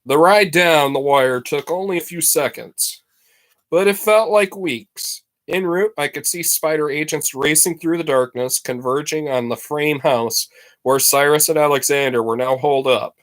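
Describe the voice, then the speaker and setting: A man narrates a storybook like a teacher reading to a class. His delivery is expressive and dramatic, leaning deliberately on key words. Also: on the high side. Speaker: teacher reading a book aloud to students.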